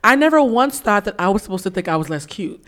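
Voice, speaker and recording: A woman's voice: mid-range voice, big pitch swings, fast talker, a bit bright. A woman in her forties from the East Coast of the United States, hosting a candid podcast conversation and telling stories in an emphatic, opinionated way. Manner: emphatic, opinionated storytelling with acted-out quotes. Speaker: podcast host.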